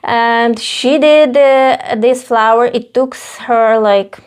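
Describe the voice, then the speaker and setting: A woman in her thirties from Ukraine, speaking English with a Ukrainian accent, telling her story with many pauses and fillers as a guest. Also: on the high side, steady tone, clean tone. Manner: halting non-native English with many fillers. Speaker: face painter, guest. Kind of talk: casual interview.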